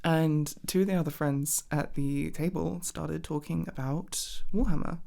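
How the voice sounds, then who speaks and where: A young woman speaks in a low, soft voice that sometimes drops to a near-whisper. She rambles in casual ASMR style as if chatting to her partner, doubling back on her own sentences and swearing offhandedly. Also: fairly even intonation, a little slow, gravelly. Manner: hesitant, rambling, self-interrupting, casually sweary. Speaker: ASMR roleplay performer playing a girlfriend.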